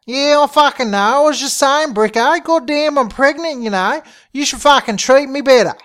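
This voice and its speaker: A man voicing a brash, foul-mouthed pregnant Australian woman in a comic audio story, shrill and indignant. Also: normal speed.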